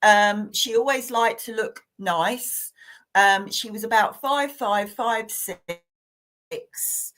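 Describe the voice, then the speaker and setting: A woman giving live psychic readings to online viewers, talking gently and hesitantly with many fillers and restarts. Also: on the high side, drawn-out words.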